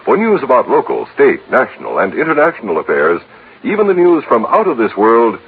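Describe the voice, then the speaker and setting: A man's voice: medium pitch, wildly swooping pitch, a little fast, slightly rough. A man reading a network promo in earnest, persuasive 1950s announcer style. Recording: phone-like old radio broadcast.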